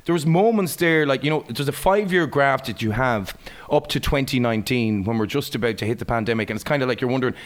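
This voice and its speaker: A man hosting a radio interview, effusive and joking, with quick asides. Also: mid-range voice. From Ireland.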